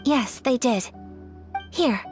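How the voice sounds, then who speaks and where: Gracious young game noblewoman, high pitch, formal, courteous, modest, principled, soft background music. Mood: modest, earnest. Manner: formal, polite, measured phrasing. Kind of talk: video game voice acting.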